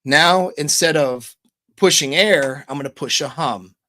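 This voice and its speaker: A man giving relaxed, chatty dating-coach advice on a livestream, speaking close to a microphone. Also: unhurried, no room sound. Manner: demonstrates voice pitch changes, relaxed and chatty. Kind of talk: casual livestream coaching talk.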